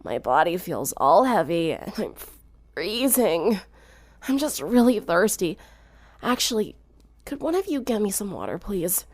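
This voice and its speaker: A woman voicing a sick, groggy teenage girl with a weak, croaky, gravelly delivery in a story reading. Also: big pitch swings, a little slow, dry recording. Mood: weak, weary. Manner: weak, groaning, low-energy.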